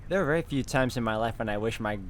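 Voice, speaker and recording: A man's voice: medium pitch, fast talker. A man hosting a game walkthrough, reading story text aloud and commenting casually.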